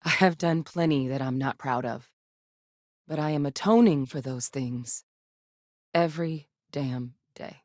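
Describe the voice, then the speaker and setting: A woman's voice: low voice, measured pace, darkish tone, dry recording, studio quality. An actress voicing a guarded, driven woman military scientist in a space video game, speaking in a firm, earnest, matter-of-fact way.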